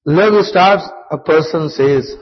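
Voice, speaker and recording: A man with a Pakistani accent and a slightly husky voice gives a religious talk in English, his second language after Urdu. He speaks in a measured, earnest way. The recording sounds dull and low-fidelity. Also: big-room sound. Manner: measured, earnest, chants some Arabic lines. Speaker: guest speaker. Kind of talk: religious talk with Arabic recitation.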